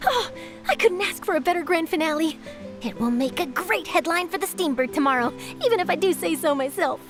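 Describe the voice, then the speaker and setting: A woman's voice: extremely high pitch, lively intonation, fairly quick, music in the background. A woman playing an eager, perky young newspaper reporter in a video game, theatrical and inquisitive. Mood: gushing.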